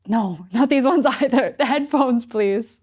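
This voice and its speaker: A young adult woman narrating a scripted product review as its presenter, clearly enunciated with dry, playful touches. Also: a little high, unhurried, a little mellow. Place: small indoor studio set. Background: music.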